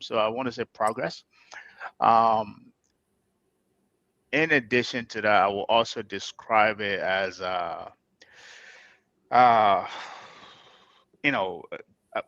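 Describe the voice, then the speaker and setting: A man on a panel, pausing often as he searches for words. The sound is dry and close but dull, like a low-quality mic. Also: expressive, pushed and bright, dry recording. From Liberia. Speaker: panel guest. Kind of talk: casual panel discussion.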